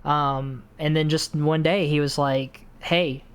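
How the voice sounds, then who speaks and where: Young man interviewer, relaxed and rambling; clean, smooth, a bit high. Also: slow. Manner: laid-back, rambling with fillers. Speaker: interviewer, friend. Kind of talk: casual interview chat. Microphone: video call mic.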